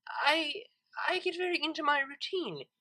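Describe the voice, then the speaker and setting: A woman's voice: sits high, normal speed, bright, forceful tone, pitched above the performer's own voice. A woman voicing a refined, naive young cellist pony in an audio story, with a proper, tentative manner. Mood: anxious, defensive. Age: young adult.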